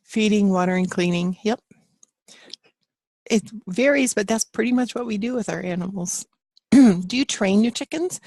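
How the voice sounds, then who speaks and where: A woman's voice: fairly low pitch, gravelly, a little mellow, husky, no echo. A woman teaching a live online class from her home office, chatting with students and reading their answers aloud in an encouraging way. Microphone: computer mic on a video call.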